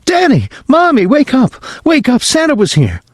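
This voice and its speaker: Meek little peasant boy, childlike and pleading, high voice, voiced by a man. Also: big pitch swings, a little slow, a little mellow, dry recording, studio quality. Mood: excited.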